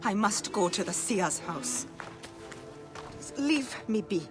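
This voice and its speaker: A woman's voice: medium pitch, lively intonation, slow pace. A desperate, bitter expectant mother. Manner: bitter, urgent, pleading. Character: desperate pregnant wife fearing for her child. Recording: game audio with music.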